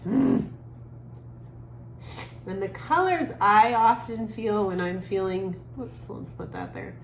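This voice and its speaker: An echoey, noisy room recording of a woman leading a creative drawing lesson for children. She speaks slowly at a middle pitch. Her manner is gentle and casual, thinking aloud with trailing pauses as she works. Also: lively intonation. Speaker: art teacher for children. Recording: echoey room with clear background noise.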